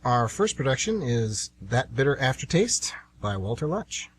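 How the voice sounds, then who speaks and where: A man's voice: mid-range voice, sing-song, unhurried, a little hiss or hum. A man co-hosting an amateur radio show with casual, conversational announcing.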